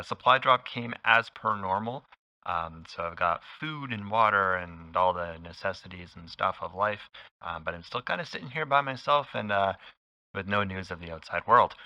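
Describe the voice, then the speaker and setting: A man's voice: on the low side, gravelly, pushed and bright, no room sound, highs cut off. A man hosting a broadcast with a casual, hesitant, understated monologue. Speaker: radio show host.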